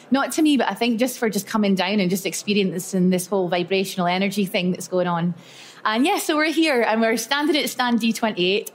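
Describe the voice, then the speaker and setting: Chatty upbeat woman on stage. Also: medium pitch. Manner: chatty and upbeat, storytelling with lots of "so" and "yeah". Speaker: speaker at a live expo talk. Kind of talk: live workshop talk to an audience. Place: event room with a live audience.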